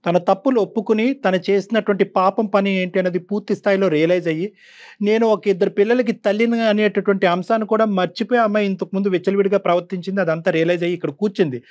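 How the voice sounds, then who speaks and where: A middle-aged man counsels on a family dispute show. His voice sits fairly high and moves quickly. He explains his points at length, slipping English words in. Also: fairly even intonation, darkish tone. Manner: measured, explanatory, mixes English words into advice.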